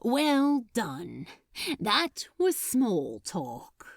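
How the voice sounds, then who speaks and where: Tender, faltering male demon, played by a woman for narrated fiction; halting delivery, lively intonation, close dry sound. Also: medium pitch, vocal fry, no echo, heavily acted. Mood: wry, pleased. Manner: halting, stammering. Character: male demon, hesitant, tender, struggling to confess.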